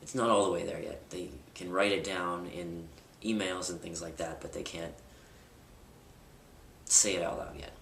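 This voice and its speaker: Young man, very low voice, candid rambling vlogger, roomy distant home-camera sound with noise. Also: steady tone, normal speed, creaky, a bit bright. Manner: candid, rambling with fillers and restarts. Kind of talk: casual personal vlog. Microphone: camera mic at a distance.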